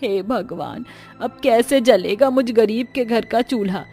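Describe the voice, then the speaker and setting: A woman's voice: fairly high pitch, unhurried, dark tone, breathy edge, faint music behind. A woman voicing a poor, humble and weary old grandmother who works as a housemaid in a story. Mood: pleading, sorrowful.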